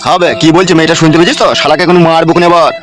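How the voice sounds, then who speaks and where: An actor playing an angry, blunt village boss in a cartoon comedy, threatening people in a rural West Bengal accent. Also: male voice, on the high side, level tone, racing, over music, natural voice. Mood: furious, threatening. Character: angry, foul-mouthed male village boss bullying a family.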